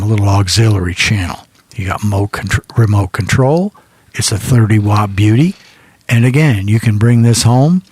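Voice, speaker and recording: Man, low slightly husky voice, close dry sound, folksy rambling radio host, casual chat. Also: darkish tone, dry recording. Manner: folksy, rambling, repeating himself.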